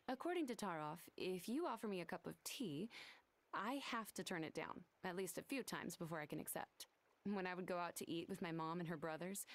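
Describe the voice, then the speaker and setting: A woman's voice: mid-range voice, natural voice. An actress playing an earnest, romantic young woman doctor in a video game, speaking tenderly and expressively. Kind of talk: animated video game cutscene acting.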